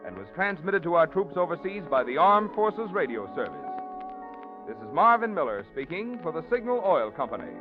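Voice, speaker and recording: A man announcing for an old radio show, smooth and persuasive. His voice is a bit high and moves up and down with showman flair. Music plays behind him on a dull, lo-fi old recording. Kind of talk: 1940s radio sponsor announcing.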